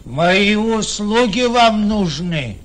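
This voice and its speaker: An actor playing a loyal household butler in a radio comedy, with a husky voice and a drawn-out, deferential manner. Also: male voice, high-pitched, animated. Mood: servile, curious.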